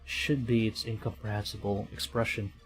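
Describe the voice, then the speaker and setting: A man's voice: on the low side, level tone, normal speed, poor audio. A man live streaming a game playthrough, reading text aloud and adding hesitant, stammering asides. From Philippines. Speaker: VTuber streamer. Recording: music behind the voice. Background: game music.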